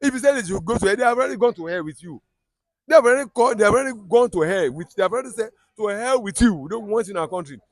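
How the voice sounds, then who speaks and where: A man's voice: high voice, animated, racing, no echo. A man with a Yoruba Nigerian accent giving heated political commentary, emphatic and repetitive, often restarting his sentences.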